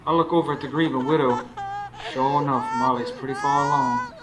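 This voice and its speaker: A man's voice: mid-range voice, fairly even intonation, fairly quick, natural voice. Actor as weary, haunted working man narrating. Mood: weary, brooding. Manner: folksy, colloquial. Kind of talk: radio drama first-person narration and dialogue. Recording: faint noise, live stage recording.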